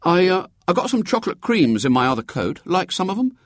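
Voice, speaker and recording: A man's voice: high-pitched, big pitch swings, fairly quick, no room sound, clean studio sound, voice pushed up. A man voicing a cheeky, self-assured young boy in a storybook, eager and childishly frank. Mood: cheerful.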